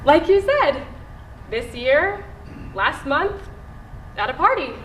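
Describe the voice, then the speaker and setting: High-voiced, slow-paced skeptical young woman. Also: big pitch swings, a bit bright, full character voice. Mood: puzzled. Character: naive thirty-year-old woman tourist from Los Angeles, skeptical. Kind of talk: live stage play acting. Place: theatre stage, indoors. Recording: echoey theatre room, faint noise.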